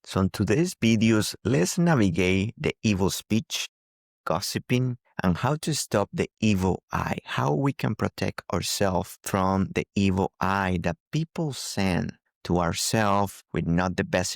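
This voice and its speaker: A man's voice: deep voice, lively intonation, measured pace, vocal fry, dry recording, studio quality. A man who is a non-native English speaker, giving a spiritual teaching talk as a channel host, repeating key phrases for emphasis. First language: not English.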